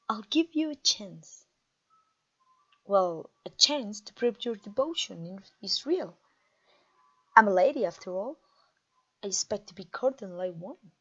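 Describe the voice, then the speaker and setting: A woman playing a haughty, mocking vampire queen in a theatrical ASMR roleplay, pompous and teasing, with drawn-out phrasing. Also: on the high side, muffled recording, heavily acted. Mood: haughty, playful. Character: haughty, mocking, conquering vampire queen taunting her captive. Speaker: audio roleplay voice actor.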